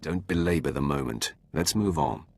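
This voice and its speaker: An actor playing a cold, commanding Sith lord in a video game, speaking in a curt, imperious way. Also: male voice, a bass voice, moderate pace.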